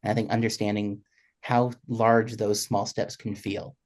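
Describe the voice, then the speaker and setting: A young adult man speaking as a panelist, thinking aloud in a reflective, halting way. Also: fairly low pitch, flat delivery, a little mellow. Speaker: panelist, composer and conductor. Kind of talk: panel discussion talk, reflective.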